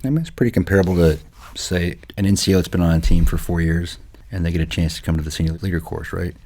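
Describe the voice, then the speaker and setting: A man's voice: low voice, fairly even intonation, quick pace, mellow. A man co-hosting a podcast, talking casually in a dry, matter-of-fact way. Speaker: podcast co-host.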